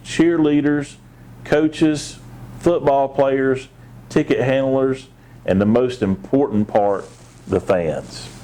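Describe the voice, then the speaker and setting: A man's voice: mid-range voice, mellow, a little hiss or hum. A man reading a children's picture book aloud, with short asides of his own. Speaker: assistant police chief, guest storybook reader.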